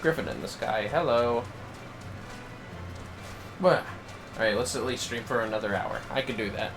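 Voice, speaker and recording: A man's voice: mid-range voice, normal speed, over music. A man streaming a video game, chatting casually and muttering to himself as he plays. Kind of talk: casual livestream chat while gaming.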